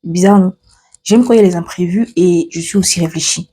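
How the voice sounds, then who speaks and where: A young woman from Cameroon talks casually to her viewers, stopping and restarting as she glances at her notes. Her voice sits low and mellow, with a soft husky edge. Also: fairly quick. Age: 20s. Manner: chatty, many fillers and restarts, reads from notes. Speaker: vlogger. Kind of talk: casual get-to-know-me chat.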